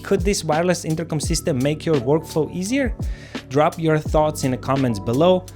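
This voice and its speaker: A man's voice: on the high side, lively intonation, normal speed. A man hosting a product review, talking viewers through the details in a conversational, explanatory way. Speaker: gear reviewer and filmmaker, channel host. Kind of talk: casual scripted product review to camera. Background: background music.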